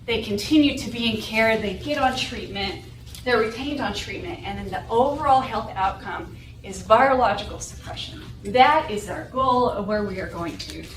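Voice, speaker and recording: A woman's voice: on the high side, expressive, normal speed. Young woman lecturing in an echoey hall. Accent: Southwestern US with a North Carolina drawl. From New Mexico and Texas. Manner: explanatory, often adds "right?" to check in with the audience. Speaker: guest lecturer. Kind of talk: academic seminar talk. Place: lecture room, standing at a podium. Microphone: room recording from a far camera. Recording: echoey room audio from far off with background noise.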